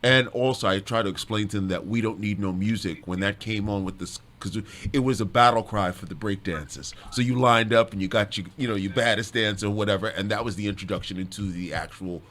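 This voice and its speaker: A middle-aged or older man with a fairly low voice. He explains things casually, as a music insider in an interview. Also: a little fast, few pauses, a bit bright. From the Bronx, New York.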